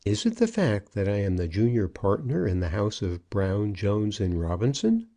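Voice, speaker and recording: A man's voice: fairly low pitch, sing-song, moderate pace, mellow. A man voicing a pompous, earnest junior business partner, formal and insistent, in an audiobook. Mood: earnest, demanding. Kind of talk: audiobook character acting.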